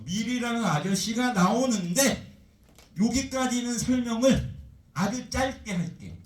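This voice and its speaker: Guy teacher lecturing, chatty and animated, asking the class stuff all the time, echoey room, mic a bit far off. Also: high-pitched. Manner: chatty, animated, full of rhetorical questions.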